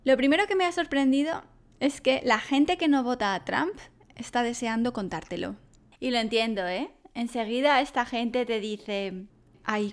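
Woman, Spanish accent, from Spain, casual vlog chat, lively, high-pitched. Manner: lively, chatty storytelling. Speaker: vlogger living abroad.

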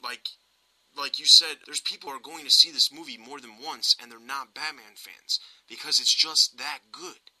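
A man with a sharp, very bright voice at an average pitch. He hosts a podcast and talks film casually, pressing his opinions with emphasis. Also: moderate pace, rough recording. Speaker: podcast host.

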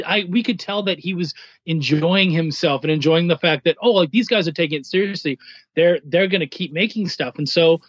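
A man's voice: sits high, husky, dry recording, muffled recording. A man talking casually and enthusiastically as a writer guesting on a podcast. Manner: enthusiastic, informal. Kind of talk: casual chat.

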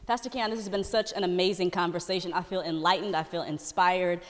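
A woman's voice: lowish voice, steady tone, fast talker, long reverb tail. A woman, a church member, earnestly interviewing a pastor in conversation.

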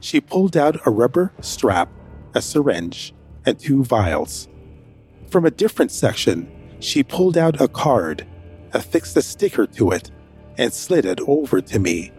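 A man's voice: mid-range voice, very animated, measured pace, darkish tone, faint music behind. An actor narrating as a nervous man hiding his sick brother, hesitant and anxious in his dialogue. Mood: steady narration. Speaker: first-person narrator. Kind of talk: audio drama narration.

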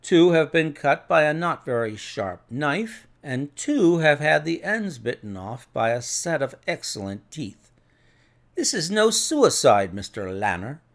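A man voicing a cool, methodical detective in an audiobook, measured, precise and authoritative. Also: a little high, very animated, fairly bright tone, heavily acted. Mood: assured, analytical. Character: cool, methodical male detective, assured and analytical.

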